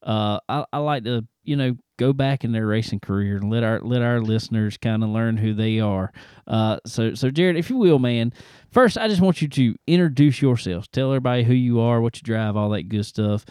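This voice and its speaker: A man's voice: mid-range voice, a little fast, darkish tone, clear, resonant tone, no room sound, clean studio sound. A man hosting a podcast, who asks his guest questions in a friendly, chatty way with lots of fillers. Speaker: podcast host. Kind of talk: casual interview chat.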